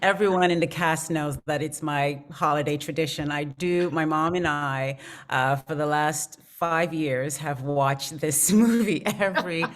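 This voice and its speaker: Low voiced actress on a panel, earnestly explaining stuff, chatty. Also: female voice, unhurried. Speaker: actor, panel guest. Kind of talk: conversational panel answer.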